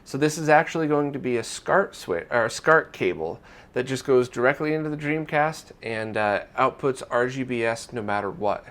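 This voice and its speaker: A man's voice: medium pitch, expressive, normal speed, fairly bright tone. A man presenting an informal retro gaming news roundup in a conversational, opinionated way.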